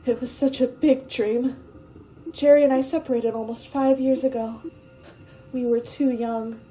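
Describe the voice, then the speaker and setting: A woman acts out a frightened young female stowaway in an old-style space radio play. The voice is high but mellow, hesitant and tender, full of wistful emotion over faint music. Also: fairly even intonation, measured pace, natural voice, low-quality recording. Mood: wistful, sad. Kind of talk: 1950s science-fiction radio drama acting.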